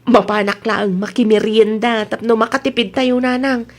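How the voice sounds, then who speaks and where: Easygoing young wife, comedy drama played by a woman, high voice, drawn-out pleading delivery, Filipino accent. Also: steady tone, natural voice. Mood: defensive, cheerful.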